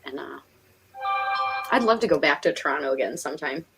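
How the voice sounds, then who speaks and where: A woman's voice: deep voice, animated, fairly quick, vocal fry, a bit bright, faint music behind, poor audio. A woman with a Northeastern American accent hosting a live stream, chatting casually in a giggly, talkative way. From Pennsylvania and New York, United States. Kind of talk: casual chat.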